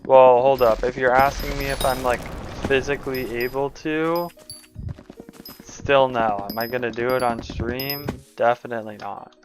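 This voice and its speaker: A man chatting casually on a gaming livestream, tired, with a deep voice and a rambling way of talking full of fillers. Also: moderate pace. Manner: relaxed, rambling, lots of "like" fillers. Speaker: streamer. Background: background music.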